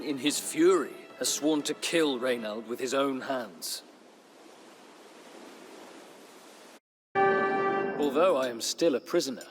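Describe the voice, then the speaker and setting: An actor playing a weary captive Norman knight who chronicles his captivity in solemn, measured storybook narration for a strategy game. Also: medium pitch, natural voice. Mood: solemn, reflective. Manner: solemn, measured, literary delivery with dramatic pauses. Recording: studio narration over clear background music.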